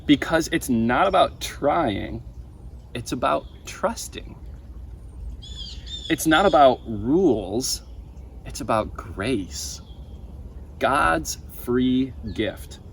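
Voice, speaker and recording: A man's voice: medium pitch, animated, drawn-out words, vocal fry, long pauses, heavily acted. A young man voicing an earnest, emphatic male preacher from a children's storybook. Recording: outdoor ambient noise.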